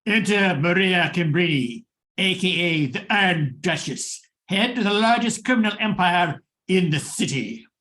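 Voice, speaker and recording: A man acting as a theatrical radio drama announcer, narrating deliberately in old-time radio style. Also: sits high, expressive, sharp tone, exaggerated voice. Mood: suspenseful. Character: theatrical male radio mystery host and narrator.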